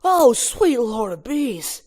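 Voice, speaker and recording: Casting: man plays a panicky young cartoon bee, high and loud, very dramatic, with stretched-out cries. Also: very animated, slow, full character voice. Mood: panicked.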